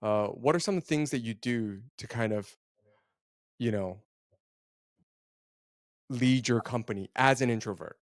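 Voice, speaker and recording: Male interviewer, mid pitch, chatty and loose, dry room. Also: a little slow, pauses often, no echo. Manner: chatty, rambling questions. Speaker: podcast interviewer. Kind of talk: casual interview questions.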